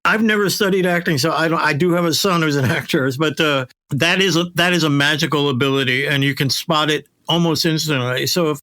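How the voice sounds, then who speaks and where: Bright-voiced man talking shop casually. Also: a little high, a little fast, few pauses, no echo, clean studio sound. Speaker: audio producer and host. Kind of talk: casual shop-talk conversation.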